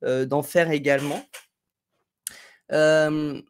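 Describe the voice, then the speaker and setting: A woman with a low voice chats through a live stream from a room at home, picking up viewers' comments. Her talk wanders and is full of small fillers. She sounds slightly stuffed up from a cold. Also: fairly even intonation, takes it slowly, smooth. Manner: chatty, digressive, friendly, thinking aloud with fillers. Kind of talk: casual live tutorial, reading chat comments. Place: home room at a desk, indoors.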